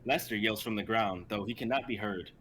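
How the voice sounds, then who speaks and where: A man's voice: lowish voice, brisk, bright, forceful tone, breathy edge, rough recording. A young man narrating an amateur fantasy script in dramatic storytelling style. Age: young adult. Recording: faint background noise, live stream.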